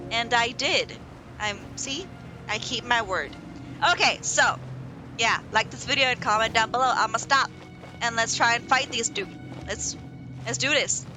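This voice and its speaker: A young woman casually commenting as she plays a video game, self-deprecating and rambling, yelling at the screen at times. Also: on the high side. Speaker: gaming channel host. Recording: game music underneath.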